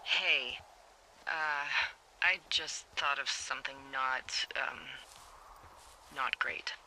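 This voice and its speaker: Bright, wry American lookout on radio. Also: female voice, a bass voice, very slow, a little hiss or hum, heavily acted, rough recording. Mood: grim. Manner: playful, breezy, wry. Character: wry, chipper, foul-mouthed adult woman fire lookout. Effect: two-way radio. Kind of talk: naturalistic video game dialogue.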